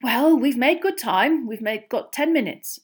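A woman's voice: a little high, expressive, unhurried, husky, voice pushed up. A woman voicing a mild, earnest middle-aged wizard father in a storybook, explaining patiently with slight hesitation. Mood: brisk. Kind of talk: acted audiobook dialogue.

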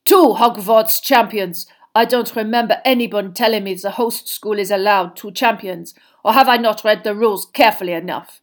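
A woman's voice: mid-range voice, moderate pace, few pauses, lightly acted. Woman acting a cold, scheming male headmaster. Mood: icy. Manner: disdainful, unctuous, indignant.

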